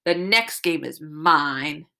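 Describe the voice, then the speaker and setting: A woman's voice: sits low, animated, takes it slowly, gravelly, sharp tone, exaggerated voice. A woman voicing an eager, curious and competitive child in a storybook, earnest and exclamatory. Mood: gloating.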